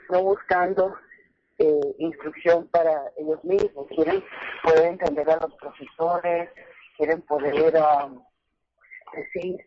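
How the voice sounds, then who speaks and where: A woman, an English speaker, giving earnest conversational advice as a radio caller, halting and repeating words for emphasis. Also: sits low. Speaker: phone-in caller, English teacher. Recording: muffled telephone line on radio.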